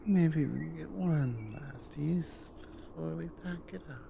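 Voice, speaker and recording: A woman voicing a tender, teasing, dominant giant Nord barbarian wife in an intimate ASMR roleplay, in a slow, breathy murmur with soft hums. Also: low voice, slight background noise, exaggerated voice. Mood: flirtatious.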